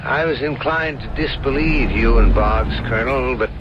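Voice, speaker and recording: A man acting a troubled, courteous professor in an old-time radio mystery, measured and formal. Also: mid-range voice, natural voice. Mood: grave. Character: solemn, troubled, courteous professor haunted by threats. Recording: muffled old radio broadcast under engine noise.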